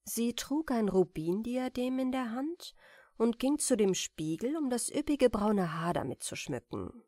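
A woman softly and deliberately narrating a fairy tale as a bedtime audiobook. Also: on the high side, no room sound, studio quality. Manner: soft, deliberate, expressive. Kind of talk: gentle bedtime story reading.

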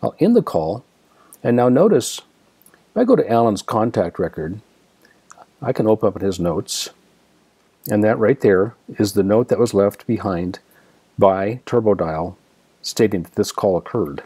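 Male American tutorial presenter, dark soft-edged tone, dry close recording, explains each step methodically. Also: lowish voice, lively intonation, unhurried, long pauses, slightly rough, no echo. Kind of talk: step-by-step screen demonstration narration.

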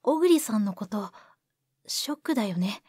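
A woman's voice: mid-range voice, lively intonation, moderate pace, darkish tone, slightly rough, no echo, studio quality. A woman playing a caring, concerned young colleague in a voice drama, tentative and earnest. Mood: worried. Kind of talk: Japanese voice drama acting.

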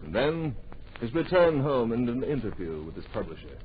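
An actor as the earnest, dramatic narrator of a radio drama, delivering scripted adventure storytelling in a grand, deliberate manner. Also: male voice, medium pitch, sing-song, lightly acted. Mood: measured, suspenseful. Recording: dull old radio recording.

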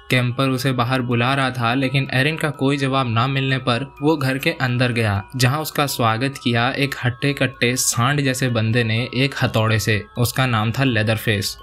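A man's voice: mid-range voice, a bit bright. A man narrating a scripted film recap in a colloquial, casual storytelling way with slangy asides.

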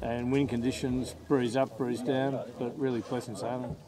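A man, a yacht sailor recounting the race in a measured way. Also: medium pitch, crowd noise, poor audio. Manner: flat, measured, lists with fillers. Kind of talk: post-race interview.

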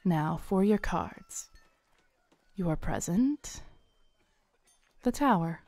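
Knowing female tarot reader, acted by a woman, audio roleplay; soothing, very slow delivery, dry close recording. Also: lowish voice, lively intonation, no echo, heavily acted. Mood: mysterious, reassuring. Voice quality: smooth, soft. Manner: unhurried, soothing, theatrical, with dramatic pauses. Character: kindly, playful, knowing Renaissance faire tarot reader woman. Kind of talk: soft-spoken audio roleplay addressed to the listener. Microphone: close studio mic, dry.

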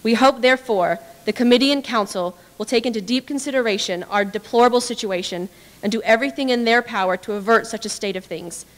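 A woman's voice: fairly high pitch, fast. Young woman's formal reading, hall reverb. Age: young adult. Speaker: dramatic reader. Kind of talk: formal read-aloud of historical petition. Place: indoor hall with brick walls, small audience. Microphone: podium microphone.

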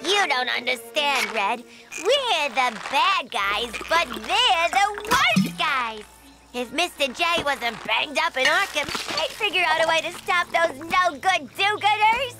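A woman voicing a giddy young female clown villainess with a high, squeaky, nasal voice. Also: big pitch swings, takes it slowly, exaggerated voice. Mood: distraught. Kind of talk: video game cutscene acting. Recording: loud game music behind.

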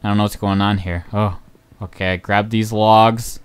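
Young male game commentator, smooth voice, hoarse, rambling and excitable, many ums. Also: on the low side, a little slow. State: voice going hoarse. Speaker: gaming channel host. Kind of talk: casual gameplay commentary.

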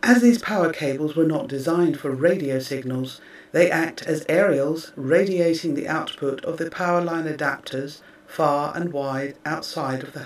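A man with a fairly high-pitched voice delivers scripted narration in a measured, formal manner. The recording is clearly echoey, and he sounds a little away from the microphone. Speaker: narrator reading a press release. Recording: roomy, distant microphone.

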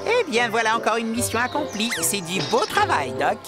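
A man's voice: very high-pitched, huge pitch swings, moderate pace, full character voice. A man voicing a carefree, playful animal sidekick in a children's cartoon. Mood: breezy, puzzled. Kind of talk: French-dubbed children's cartoon acting. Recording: music behind the voice.

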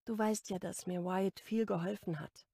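A narrator plays a stressed young woman in a romance audiobook. She is candid and a little defensive, with wry edges. Her voice has noticeable vocal fry and sits very dry. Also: medium pitch, steady tone, normal speed, mellow, no echo. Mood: confiding.

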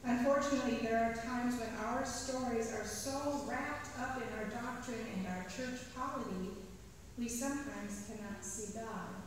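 A woman's voice: fairly high pitch, a little hiss or hum. Woman preaching in an echoey church. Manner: measured, slows to stress key phrases. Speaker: priest. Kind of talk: read sermon. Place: large church sanctuary. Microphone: pulpit mic at a distance. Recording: echoey church hall from far off.

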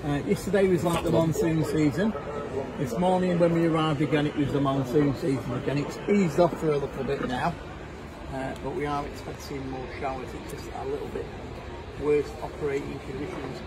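A man's voice: fairly high pitch, steady tone, brisk. A middle-aged man chats courteously over amateur radio, switching into formal call signs as he operates an event station. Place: indoors by a window at an event. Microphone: bystander's camera near the operator, who holds a hand microphone. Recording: clear background noise, busy event room.

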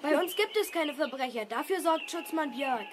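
A skeptical, teasing young boy in a 1970s children's radio play. His voice is high and matter-of-fact, with clear noise and chirping birds behind it. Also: expressive, moderate pace.

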